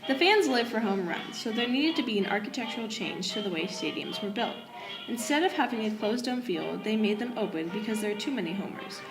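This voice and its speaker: A woman's voice: medium pitch, animated, fairly quick. A young woman reading scripted newsreel narration as a student presenter. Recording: roomy echo, music under voice. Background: clearly audible music.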